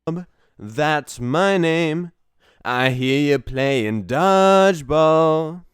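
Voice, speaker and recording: A man's voice: on the high side, expressive, drawn-out words, clear, resonant tone, pitched above the performer's own voice. A man singing unprocessed vocal takes as Mr Bob, a man looking for a job, with a whispery voice over a music track. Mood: sung.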